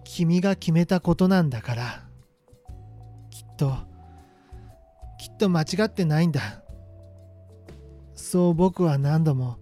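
Man playing a wistful young student, softly dark-toned. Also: on the high side, slow, pauses often, faint music behind. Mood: resigned, heartbroken. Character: wistful young male college student narrating lost love. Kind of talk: radio drama monologue and dialogue.

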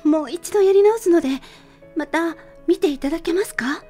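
A woman's voice: extremely high pitch, normal speed, warm tone, faint music behind. A woman playing a timid, earnest teenage schoolgirl who speaks politely and hesitantly in a radio drama. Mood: determined.

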